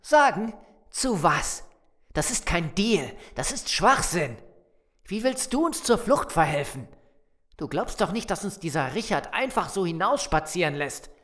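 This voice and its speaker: An actor playing a sarcastic, confrontational, distrustful survivor in a German zombie audio drama. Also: female voice, fairly low pitch, sing-song, unhurried, a bit bright. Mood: scornful, angry. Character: Simon, distrustful hot-headed survivor of a zombie apocalypse.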